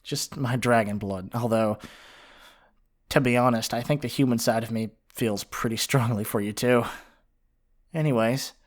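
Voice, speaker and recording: A man's voice: medium pitch, moderate pace, long pauses, lightly acted. A man playing a flirty, possessive half-dragon librarian in an intimate ASMR roleplay, speaking earnestly and affectionately with tender endearments. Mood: relieved, earnest. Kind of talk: ASMR romantic roleplay monologue.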